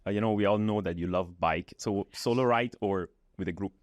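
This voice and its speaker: A man's voice: sits low, a little fast, dry recording. A man from Malaysia hosting an interview, asking questions in an easygoing way. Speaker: podcast host.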